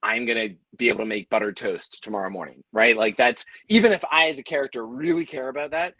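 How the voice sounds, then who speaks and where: A man speaking as an audio drama creator in a conversational panel discussion, thinking aloud in a halting, thoughtful way with repeated words. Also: mid-range voice, sharp tone, no echo.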